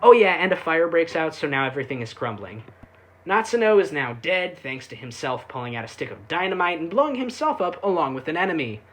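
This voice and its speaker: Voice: medium pitch, very animated, fairly quick, a bit bright. A reviewer giving a casual, sarcastic recap with irreverent, sweary delivery. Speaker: YouTube anime reviewer.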